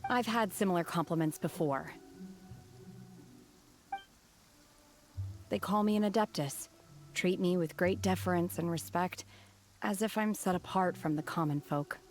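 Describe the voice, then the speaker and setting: A woman voicing an aloof, solemn young hermit woman in a video game, speaking in a reserved, measured and formal way. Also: on the low side, natural voice.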